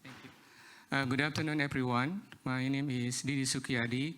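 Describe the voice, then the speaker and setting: Panelist, man from Indonesia, bright tone, short and courteous. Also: medium pitch, steady tone, normal speed, slight background noise. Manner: brief, polite. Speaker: university vice rector, panelist. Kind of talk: brief self-introduction.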